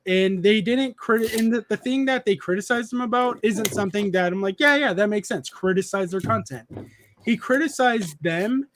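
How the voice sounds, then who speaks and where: A man's voice: high voice, a little hiss or hum. A man in his thirties hosting a casual live stream, rambling with many restarts and reading chat messages aloud. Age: 30s. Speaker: streamer host.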